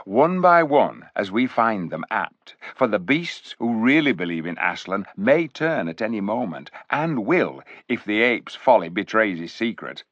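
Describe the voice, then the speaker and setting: A man's voice: lowish voice, sing-song, a little slow, few pauses, a bit bright, no echo, heavily acted. A man doing a silky, scheming cat. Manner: silky, purring, smoothly cunning. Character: sly, silky-voiced scheming tomcat. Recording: dull, dry recording.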